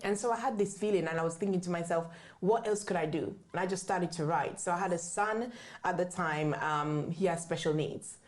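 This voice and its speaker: A woman's voice: fairly low pitch, brisk. A woman from the UK, raised in Jamaica, speaking candidly as an interview guest in a conversational storytelling style. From born in the UK, raised in Jamaica. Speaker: interview guest, author and book writing mentor.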